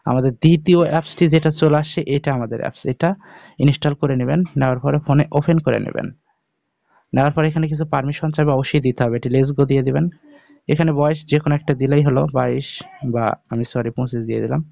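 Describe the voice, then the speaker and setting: Creaky-voiced man giving a tutorial. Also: mid-range voice, fairly even intonation, quick pace, warm tone, no room sound, highs cut off. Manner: addresses viewers directly, checking in often. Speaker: tutorial video host. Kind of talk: informal step-by-step phone app tutorial.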